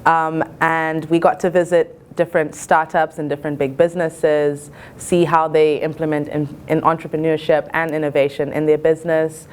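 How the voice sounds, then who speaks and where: A woman's voice: deep voice, little pitch movement, normal speed. A young woman in her early twenties from Zimbabwe, reflecting on her experiences as a college student in a thoughtful, earnest way. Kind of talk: reflective interview-style talk.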